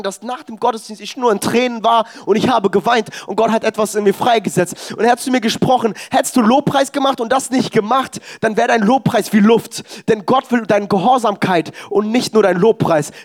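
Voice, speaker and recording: A young man delivers a sermon at a church service. His voice sits high and light, and he drives his points home with emphatic, exhorting insistence. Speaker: preacher.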